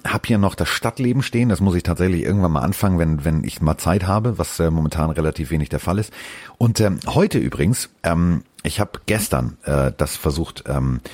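Very deep, husky man chatting. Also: darkish tone, no echo. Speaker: podcast co-host. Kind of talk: casual podcast chat.

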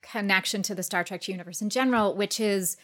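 A woman's voice: medium pitch, brisk, fairly bright tone. A woman speaking as a podcast guest, explaining her points articulately in a conversational style. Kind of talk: conversational interview talk.

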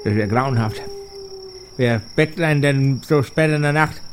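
A man's voice: mid-range voice, moderate pace, noisy background, close to an everyday voice. Man putting on a grumpy, flustered old magician. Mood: outraged, grumbling. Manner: complaining, sputtering, repeats himself in outrage.

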